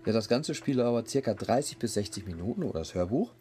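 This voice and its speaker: A man's voice: fairly low pitch, expressive, quick pace, dark tone, soft background music. A man hosting a podcast, giving a casual, colloquial and rambling review. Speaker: podcast host reviewing an app. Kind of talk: casual chat, informal review.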